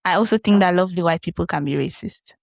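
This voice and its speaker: A woman's voice: lowish voice, quick pace, creaky, no room sound, clean studio sound. A woman co-hosting a podcast in casual chat, frank and opinionated, often chiming in with agreement. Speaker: podcast co-host.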